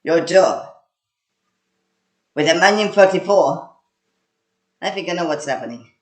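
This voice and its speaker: A man's voice: fairly high pitch, measured pace, reverberant, lightly acted. A man playing a blunt, foul-mouthed squad member in an amateur audio drama, rambling and hesitant. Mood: alarmed, pleading.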